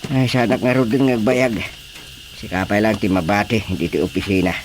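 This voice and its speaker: A man's voice: on the low side, brisk, a little hiss or hum, lightly acted. An actor with a Filipino accent playing a bossy, exasperated older village chairman in a radio comedy drama. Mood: grumbling. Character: grumbling, bossy male village chairman, a father.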